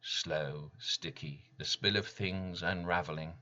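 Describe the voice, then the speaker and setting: A man giving a dramatic reading of his own poem in solemn, deliberate short phrases, with slightly muffled sound. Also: deep voice, fairly even intonation, sharp tone. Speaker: poet reading own work. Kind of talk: dramatic poetry recital.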